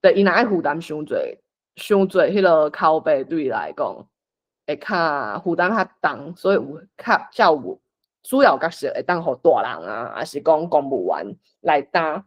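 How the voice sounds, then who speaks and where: A Taiwanese-accented facilitator talks a group through a script reading. The pitch swings up and down in an animated way, and the delivery is informal, like someone thinking aloud while organising things. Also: normal speed, dull audio. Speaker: workshop facilitator.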